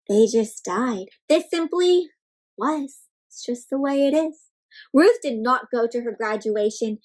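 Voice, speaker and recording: High-pitched woman reading expressively to kids. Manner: expressive, with exaggerated emphasis and frequent questions to young listeners. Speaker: children's read-aloud host. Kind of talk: interactive picture-book read-aloud for children.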